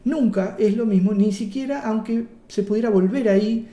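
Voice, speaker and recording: A man's voice: high voice, normal speed, dark tone, reverberant. A man with a Rioplatense Spanish accent teaching a workshop in a playful, chatty way, asking and answering his own questions and slipping in jokes. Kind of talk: workshop lecture.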